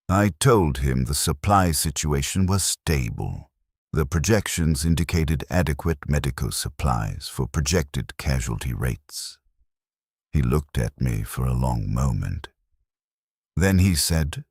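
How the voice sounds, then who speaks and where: A man reading a scripted first-person war story in a measured, solemn way, with short deliberate sentences. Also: rumbling low, husky, dry recording, clean studio sound. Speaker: narrator of a fictional first-person war account. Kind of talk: scripted cinematic story narration.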